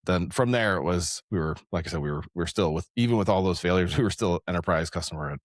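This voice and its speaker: A man's voice: low-pitched, quick pace, no echo, studio quality. A man speaking as an interview guest, talking casually about his work as a developer and leader, thinking aloud and rephrasing as he goes. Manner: rambling, conversational, thinks aloud with repeated rephrasing.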